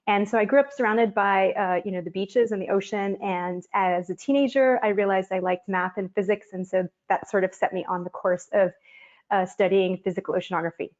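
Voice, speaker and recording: A woman's voice: mid-range voice, fairly even intonation, a little fast, darkish tone. A woman from Miami, a physical oceanographer, giving a friendly explanatory science demonstration over a video call with frequent ums. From Miami, Florida. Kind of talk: online science presentation and demo. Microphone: webcam on a video call. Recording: dull lo-fi video call audio.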